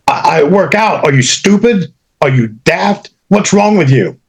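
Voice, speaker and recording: A woman's voice: low voice, animated, unhurried, voice pushed up. A man voicing an irritated young woman who snaps impatiently and repeats herself. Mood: exasperated. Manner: exasperated, indignant, repeats herself.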